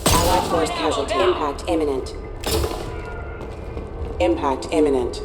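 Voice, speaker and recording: An actress voicing an impersonal computer warning system in a video game, issuing clipped alerts. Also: female voice, sits low, steady tone, measured pace, heavy background noise. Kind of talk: video game automated announcement. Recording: echoing, under loud music.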